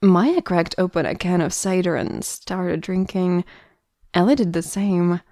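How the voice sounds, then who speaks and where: A woman's voice: fairly low pitch, a little slow, warm tone, no room sound, studio quality. A woman reading scripted narration for an audio drama.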